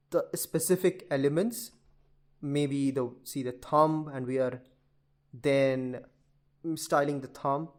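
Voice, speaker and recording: A man giving an informal screen-share coding tutorial, rambling and chatty as he talks straight to his viewers. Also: medium pitch. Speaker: programming tutorial presenter.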